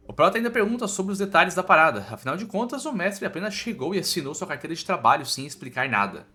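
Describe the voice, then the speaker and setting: A man's voice: a little high, bright, forceful tone. A Brazilian man narrating a scripted story recap informally, in colloquial, slangy language with asides to the listener. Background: background music.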